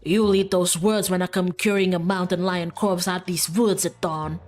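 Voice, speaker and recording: A woman's voice: lowish voice, steady tone, normal speed, a little mellow, natural voice. Woman doing a stubborn old Southern hunter man. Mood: threatening. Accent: Southern US drawl. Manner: folksy, grumbling, threatening.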